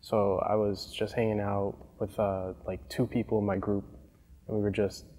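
A young man tells a plain story in a somewhat low voice. The studio adds a long reverb tail to his words. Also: level tone, moderate pace, warm tone. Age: young adult. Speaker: club alumnus panelist. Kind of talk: panel interview.

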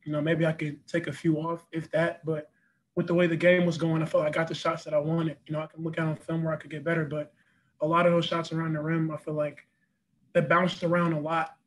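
A man's voice: on the high side, flat delivery, fast, a little hiss or hum, poor audio. A young man, a college basketball player, answering post-game press questions over a video call, earnest and full of "you know" and repeated words. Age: young adult. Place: press backdrop room, indoors.

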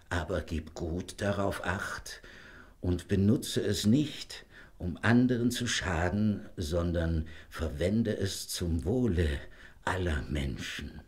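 Slow, low-voiced dying old father. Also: a little mellow. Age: older. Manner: slow, deliberate, solemn. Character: frail old dying father, gentle and solemn. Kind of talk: German fairy-tale audio drama acting.

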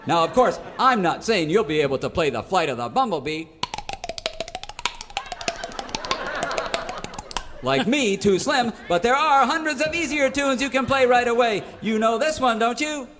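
A man's voice: very high-pitched, lively intonation, brisk, hardly any pauses, fairly bright tone. A man playing a folksy, eager cowboy musician who sells with absurd earnestness in a parody radio commercial. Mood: excited selling. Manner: salesmanlike, folksy.

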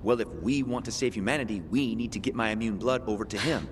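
A man's voice: fairly low pitch, fast talker, a little hiss or hum, close to an everyday voice. An actor playing a brash, streetwise young male survivor of a zombie outbreak in a video game, with slangy, flippant delivery. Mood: wry, urgent. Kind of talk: video game cutscene acting.

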